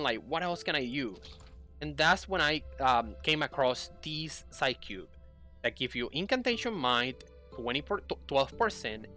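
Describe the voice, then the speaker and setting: Young guy doing a chatty game guide, bright clear-cut voice, some music behind him. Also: medium pitch. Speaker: gaming content creator. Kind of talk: casual game guide commentary. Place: indoor room at home.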